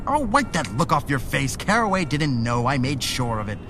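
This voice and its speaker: An actor playing a sinister, megalomaniac villain in an audio drama, theatrical, mocking and taunting. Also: male voice, medium pitch, sing-song, normal speed, over music. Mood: smug.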